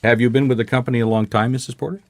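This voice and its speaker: An actor playing a wry, persistent private detective in a radio mystery, with a dry, conversational, probing way of talking. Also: male voice, mid-range voice, fairly even intonation, racing, dry recording, close to an everyday voice. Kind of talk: radio mystery drama, dialogue and narration.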